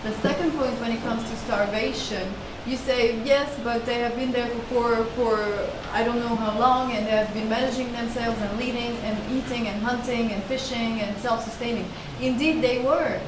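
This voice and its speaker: A woman's voice: on the high side, fairly even intonation, normal speed, hardly any pauses. A woman in her thirties, a lecturer answering audience questions in an earnest, explanatory way with many restarts. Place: seminar room with a panel table. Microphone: table mic on a stand. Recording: echoey room, distant and noisy.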